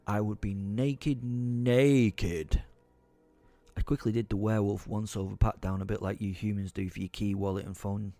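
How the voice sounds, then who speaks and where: Man acting a low, forceful, foul-mouthed werewolf storyteller. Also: natural voice. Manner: theatrical, sweary, rambling and repetitive. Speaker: narrator of an audio drama. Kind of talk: dramatised first-person storytelling. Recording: music under the voice.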